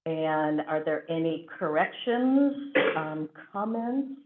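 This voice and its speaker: A woman's voice: sits low, expressive, takes it slowly, very noisy. A woman chairing a school board meeting, running through procedure with frequent fillers. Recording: tinny phone-like audio.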